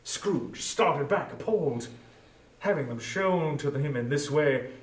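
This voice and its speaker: A man narrating a literary story theatrically, with deliberate phrasing and weight on each listed word. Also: on the high side, animated. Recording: echoey room, distant from mic.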